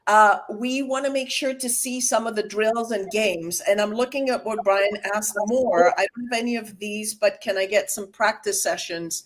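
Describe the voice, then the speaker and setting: Woman, interview host, emphatic, enthusiastic, mid pitch. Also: moderate pace, hardly any pauses. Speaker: host, communication coach. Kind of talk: interview hosting.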